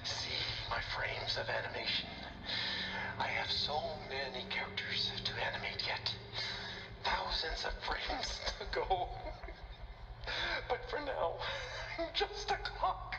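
A man's voice: sits high, wildly swooping pitch, slow pace, gravelly, pauses often, over music, full character voice. An actor playing an eccentric male animator who whispers, giggles and sings as he pretends to be a clock. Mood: giddy. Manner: whispery, giggling, sing-song. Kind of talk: game cutscene audio log. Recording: echoey room with noisy background.

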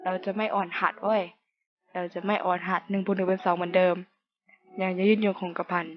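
A woman's voice: medium pitch, little pitch movement, quick pace. A young woman giving casual, playful let's-play commentary, slangy and talking to herself while reading game text aloud. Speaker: gamer playing through a horror visual novel. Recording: dull lo-fi mic with loud music. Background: loud background music.

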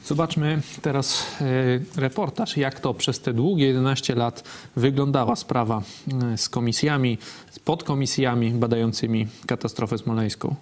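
A man's voice: medium pitch, steady tone, moderate pace. A man in his thirties hosting a political talk show in a TV studio, chatting casually and skeptically. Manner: casual, skeptical, interjects often. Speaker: show host.

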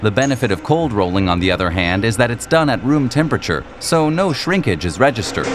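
A man reading scripted documentary narration in a measured, explanatory manner. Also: medium pitch, animated, background noise.

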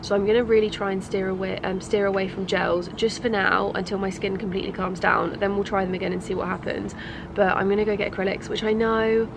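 Young woman, British accent, congested, creaky voice, rambling casual vlog chat, clear outdoor background noise. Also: medium pitch, level tone, fast talker. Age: young adult. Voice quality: stuffy, congested. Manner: rambling, informal. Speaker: lifestyle vlogger. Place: outdoor balcony.

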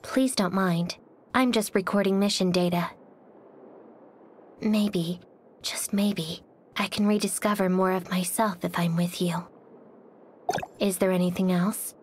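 A woman voicing a cold, reserved, melancholy young swordswoman for a video game, speaking softly and breathily in a quiet, detached, formal manner. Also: mid-range voice, fairly even intonation, unhurried, long pauses, slight background noise, natural voice. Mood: reserved, polite, then shyly tender. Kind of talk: scripted game character voice lines.

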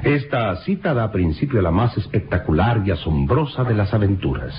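An actor playing a hearty, courteous English colonel and scientist in an old radio serial, effusive in manner. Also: male voice, fairly low pitch, sing-song, normal speed. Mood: intriguing, gracious. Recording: dull noisy vintage radio recording.

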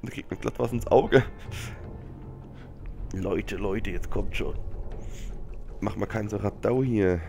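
A young man commentates a video game casually while sick with a cold. His voice sits fairly low and has a lot of fry in it. He mutters and swears in slang into a headset, over clearly audible game music and gunfire. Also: slow pace, pauses often, mellow. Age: young adult. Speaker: let's player hosting a gameplay series. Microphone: headset microphone.

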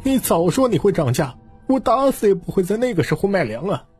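A middle-aged shop clerk whines in a raised, rough voice , acted out by a male narrator. He is meek and aggrieved, an audiobook underling close to tears, with music behind him. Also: high-pitched, very animated, a little fast, darkish tone, dry recording, pitched above the performer's own voice, full character voice. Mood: tearful, aggrieved.

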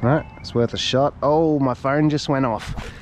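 A man with an Australian accent, talking to himself in casual running commentary as he fishes from a reservoir bank, chatty and quick to laugh. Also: mid-range voice, fairly even intonation, a little hiss or hum. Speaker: fishing vlogger. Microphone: head- or chest-mounted action camera.

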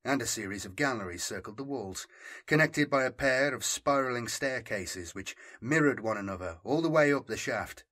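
A man's voice: medium pitch, a bit bright. A man reading scripted fiction as an audiobook narrator.

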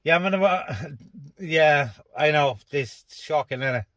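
A man's voice: on the high side, normal speed, fairly bright tone, dry recording. A man with a British accent talking casually as a vlogger and CB radio operator. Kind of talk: casual radio chat and vlog talk. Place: parked car on an open moorland car park. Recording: faint background noise.

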